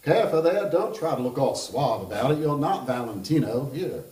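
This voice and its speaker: A man voicing a jovial, decadent Kentucky gentleman in a story reading, with a Kentucky accent and a languid, teasing drawl. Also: medium pitch, expressive, moderate pace, voice pushed up, close to an everyday voice. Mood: indulgent. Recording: roomy sound, distant mic.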